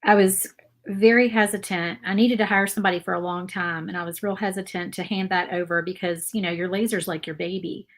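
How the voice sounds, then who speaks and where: Chatty appalachian lady on a craft livestream, rambling, mid pitched. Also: steady tone, fairly quick. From Virginia, USA. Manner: chatty, rambling, reads viewer comments aloud. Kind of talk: casual live craft stream chat.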